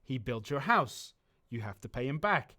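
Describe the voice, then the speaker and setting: A man's voice: medium pitch, sing-song, normal speed, bright, forceful tone. A man casually answering viewer questions about a game on a livestream, rambling through explanations with many ums and restarts. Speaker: community manager on a game stream.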